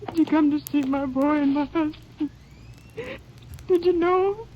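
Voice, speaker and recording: A woman's voice: sits high, measured pace, mellow, a little hiss or hum, low-quality recording. An actress playing a grief-stricken young mother, tearful and pleading, in a 1940s radio drama. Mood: grieving. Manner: broken, pleading speech. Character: grief-stricken young mother who lost husband and son.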